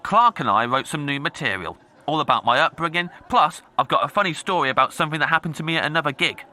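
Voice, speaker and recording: A man's voice: on the high side, animated, fast talker, sharp tone. A man playing an earnest, awkward amateur comic, chatty and a little defensive. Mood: uneasy. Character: earnest, awkward male ex-cop stand-up comic.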